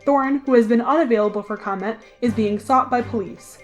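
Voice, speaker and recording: A woman acts out a radio news anchor in an audio drama. She reads the bulletin formally and steadily in a fairly high voice. Soft music runs underneath. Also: lightly acted. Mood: composed. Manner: formal, measured newsreading.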